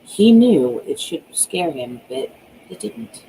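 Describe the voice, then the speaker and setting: Creaky soft-toned narrator doing a dramatic thriller audiobook, noisy homemade recording. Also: medium pitch, very animated, slow. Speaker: author reading own book aloud.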